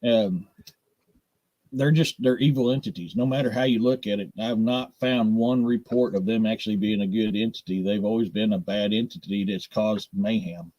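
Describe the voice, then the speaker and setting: A man's voice: on the low side, moderate pace, warm tone, dry recording, lo-fi sound. An American man in middle age chatting casually on a podcast, with a blunt, direct, folksy manner. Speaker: podcast co-host. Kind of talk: casual live podcast chat.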